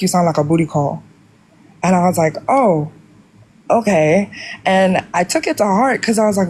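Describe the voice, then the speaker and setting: A woman's voice: on the low side, darkish tone, a little hiss or hum. A woman with a Jamaican accent who calls in for advice, telling her story in a rambling, sheepish way full of fillers. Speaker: caller asking for advice. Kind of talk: casual chat.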